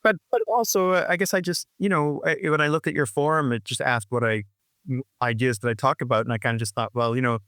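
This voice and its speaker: A man in his sixties talks reflectively as an interview guest, circling back with frequent restarts and fillers. His voice sits at an ordinary middle pitch. The sound is dry and close, with no room around it. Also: quick pace, fairly bright tone, no echo. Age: 60s. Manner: rambling, full of restarts and "you know, like". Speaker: guest musician.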